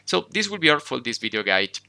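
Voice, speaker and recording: Italian-native male tutorial host, gravelly and sharply bright, dry close mic, casual explanatory delivery. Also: medium pitch, brisk, no echo. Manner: relaxed, explanatory, with fillers and self-corrections. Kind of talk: screen-recorded tutorial walkthrough.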